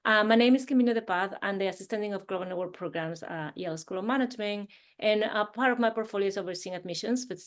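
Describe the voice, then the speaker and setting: A woman, an assistant dean, moderating an online alumni panel over a video call, with frequent hesitant fillers. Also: mid-range voice.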